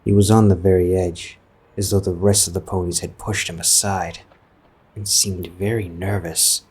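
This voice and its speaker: A man's voice: low-pitched, flat delivery, normal speed, a little mellow, slightly rough. A man narrating a scripted story as a storyteller. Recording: music behind the voice.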